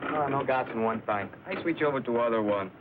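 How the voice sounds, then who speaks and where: A man plays a folksy, unruffled Mexican bush pilot in a radio play. His mid-pitched voice has a Mexican accent, under loud noise on a muffled old recording. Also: a little fast, natural voice. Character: easygoing, nosy Mexican male small-plane pilot. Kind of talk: old-time radio drama acting.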